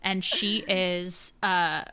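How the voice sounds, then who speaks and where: A woman's voice: lowish voice, drawn-out words, smooth. A woman co-hosting a podcast in a casual chat, speaking English as a second language and laughing often. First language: not English. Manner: laughs often, lively and playful. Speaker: podcast co-host. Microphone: remote call.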